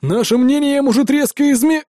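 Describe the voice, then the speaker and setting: Big hot-headed biker boss, played by a male narrator: raised pitch, growling protests, audiobook villain. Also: moderate pace, dark tone, dry recording, studio quality. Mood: furious.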